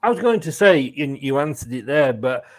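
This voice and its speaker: A man's voice: mid-range voice, sing-song, normal speed. A middle-aged man with an English accent hosting a casual interview, chatty and rambling in his questions. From England. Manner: chatty, rambling, chuckles between phrases. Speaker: fan channel host, interviewer.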